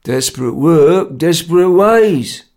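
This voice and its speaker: A man's voice: mid-range voice, sing-song, a little slow, gravelly, a little mellow, husky, full character voice. A man playing a grim, bullying conspirator boss in a story, severe and laconic, with a working-class London accent. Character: grim, menacing male ringleader of bungling conspirators.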